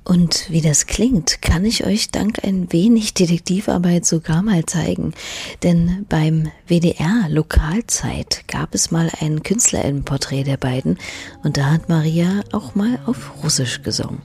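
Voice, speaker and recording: A woman hosting a podcast, reading scripted narration in a soft, half-whispered way. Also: on the low side, a little slow, husky, dry recording. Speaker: podcast host.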